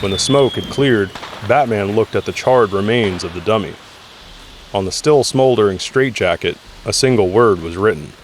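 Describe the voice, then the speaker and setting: A man's voice: on the low side, normal speed, slight background noise. A man narrating an audio drama from a script, telling the story in the third person.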